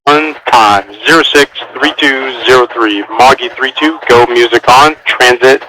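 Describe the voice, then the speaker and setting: A man's voice: medium pitch, measured pace, bright, forceful tone. A man working as a military mission controller, giving procedural instructions in clipped phrases over a radio transmission. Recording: noisy military air-band radio. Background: radio static and clicks.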